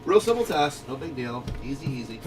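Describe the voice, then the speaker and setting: A man's voice: mid-range voice, big pitch swings, a little fast, far from the mic, loud music behind the voice. A man hosting a radio group in a folksy, easygoing way, explaining things in casual instructional chat. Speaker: radio shop owner hosting a walkie-talkie group channel.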